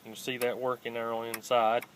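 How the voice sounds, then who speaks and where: A man with a middle-pitched, flat voice walks through a hands-on demonstration. He talks plainly and haltingly, unscripted, like a casual how-to host. It is a home phone recording with faint noise behind him. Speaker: host of a scrapping how-to channel. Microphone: handheld phone, filming one-handed.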